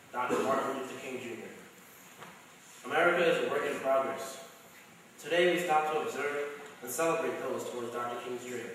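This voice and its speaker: A man's voice: mid-range voice, natural voice. Young man as storyteller in a very echoey chapel. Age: young adult. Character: men of one family telling their life stories. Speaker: student reader. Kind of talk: scripted first-person dramatic reading. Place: large school chapel. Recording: echoey hall, distant mic, faint noise.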